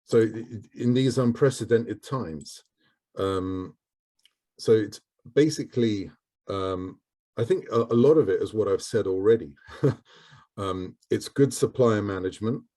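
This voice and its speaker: A man's voice: lowish voice, a little slow, dark tone. A man answering questions on a video call panel, hesitant and full of "um"s. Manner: hesitant, many ums.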